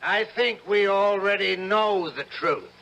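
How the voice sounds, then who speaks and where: An actor playing a relentless, sceptical prosecutor, sarcastic and pressing, in old-time radio drama style. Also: male voice, sits high, takes it slowly, gravelly, muffled recording, music in the background, full character voice. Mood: pressing, scornful.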